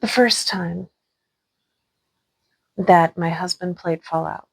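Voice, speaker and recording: A woman's voice: on the low side, slow pace. A young woman co-hosting a podcast, chatting casually and laughing along with her co-host. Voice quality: gravelly, husky. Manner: laughing, brief interjections. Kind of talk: casual chat.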